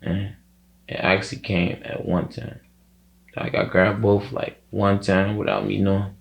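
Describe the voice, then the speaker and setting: Low, gravelly young Chicago man. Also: level tone, unhurried. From Chicago, USA. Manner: rambling, heavy slang and swearing. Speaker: rapper being interviewed. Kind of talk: casual conversational interview.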